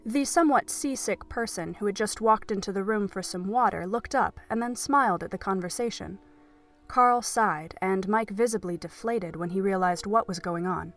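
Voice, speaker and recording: A woman's voice: medium pitch, normal speed, faint music behind. A woman narrating a scripted story as the narrator of an audio drama.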